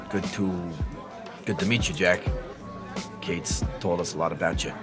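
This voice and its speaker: A man's voice: sits low, slow. A man acting a foul-mouthed, teasing police colleague in casual audio drama banter, drawling and crude. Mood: wry. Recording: music behind the voice.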